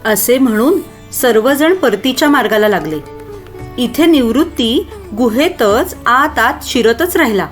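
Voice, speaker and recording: A woman narrating a children's moral story in an expressive storytelling style. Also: sits high.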